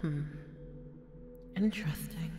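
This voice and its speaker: A woman voicing a bored, volatile, haughty goddess in an ASMR audio roleplay, drawling and slipping into breathy murmurs. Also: low voice, slow pace. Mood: curious, intrigued. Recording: echoey hall reverb with music behind.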